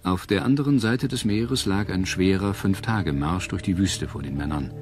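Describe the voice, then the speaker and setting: A man narrating a scripted history documentary in a measured, dramatic storytelling manner. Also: sits low. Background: prominent music.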